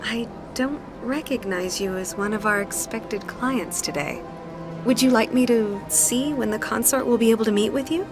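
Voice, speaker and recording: An actress voicing a courteous, welcoming receptionist. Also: female voice, fairly high pitch, moderate pace, close to an everyday voice. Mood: polite. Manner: polite, welcoming. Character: polite female receptionist, courteous hostess. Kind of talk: video game voice acting. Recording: game audio over music.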